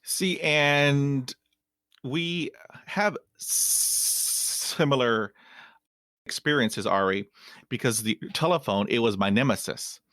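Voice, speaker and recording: A man hosting an interview who stutters, cutting words short and starting them over. He talks very slowly. The recording is dry, with no room sound. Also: mid-range voice, a bit bright, no echo. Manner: stutters, with broken-off words and repeats. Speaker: podcast host. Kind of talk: casual interview talk.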